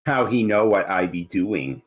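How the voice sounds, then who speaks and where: A man's voice: deep voice, animated, a little slow. A man playing a sullen, defensive teenage boy in a script read, grudging and slangy. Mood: annoyed. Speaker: amateur actor reading a part. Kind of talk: screenplay table read, dramatic dialogue.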